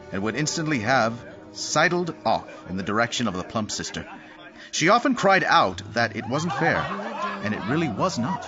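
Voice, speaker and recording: A man narrating a dramatised story in a wry, theatrical manner. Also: medium pitch. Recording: dull audio with music behind.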